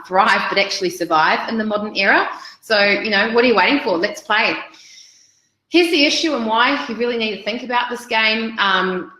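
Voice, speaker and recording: A woman's voice: mid-range voice, pushed and bright, roomy sound, rough recording. A woman in her forties with a New Zealand accent, presenting a business webinar over slides in an upbeat, chatty way with frequent ums.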